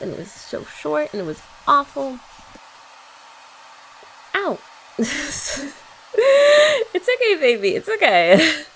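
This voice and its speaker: A young woman in a sweet, chatty girlfriend roleplay for ASMR, talking softly and often laughing gently. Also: mid-range voice, creaky, a little hiss or hum, poor audio.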